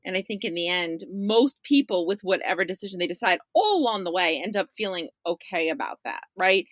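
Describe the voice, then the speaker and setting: A woman's voice: fairly low pitch, lively intonation, fairly quick, fairly bright tone, no room sound. A middle-aged woman talking as a podcast guest in a chatty, emphatic way, often checking in with "right?". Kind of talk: conversational discussion of parents' views.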